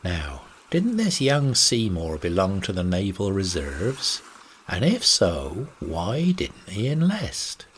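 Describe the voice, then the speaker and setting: A man's voice: sits low, huge pitch swings, slow, heavily acted. Hearty, scheming old skipper, acted out by a male reader, salty and emphatic, swinging delivery, slight noise behind. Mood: scheming, insistent. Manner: salty nautical talk, emphatic. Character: shrewd, hearty old sea captain, bossy and fatherly.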